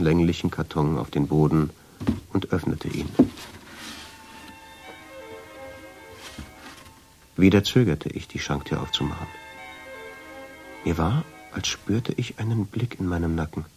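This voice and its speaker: A guilt-ridden man recounting his own tale for a radio drama. His voice sits very low. He speaks in measured, literary sentences with a brooding, wry undertone. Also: a little mellow, faint music behind. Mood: uneasy, tense. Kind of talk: scripted first-person radio drama narration.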